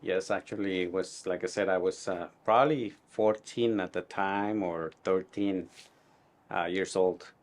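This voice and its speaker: A middle-aged man speaks English with a Guatemalan Spanish accent in a fairly low voice. He answers interview questions conversationally, pausing and reflecting as he goes. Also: fairly even intonation. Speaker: tailor being interviewed.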